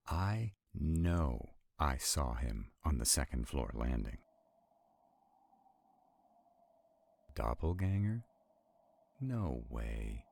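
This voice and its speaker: A man playing an arrogant, stubborn vampire in a roleplay audio drama, with a deep, gravelly, husky voice and a haughty, deliberate delivery. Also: a little mellow, no echo, exaggerated voice. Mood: startled, uneasy. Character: arrogant, stubborn male vampire, irritated and skeptical.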